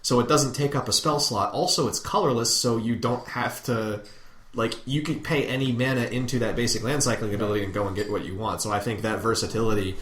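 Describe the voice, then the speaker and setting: A man's voice: mid-range voice, a little fast, fairly bright tone. A man hosting a casual gaming podcast and steering the conversation. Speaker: podcast host steering the discussion. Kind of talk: casual hobby podcast chat about games. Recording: roomy echo, faint background noise.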